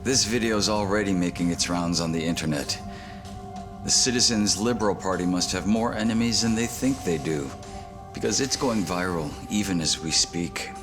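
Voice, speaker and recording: A man's voice: low voice, moderate pace, lightly acted. An actor playing a stern man in a game drama, speaking in a pointed, matter-of-fact way. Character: stern man reporting a scandal to power. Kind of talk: dramatic video game cutscene acting. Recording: music behind.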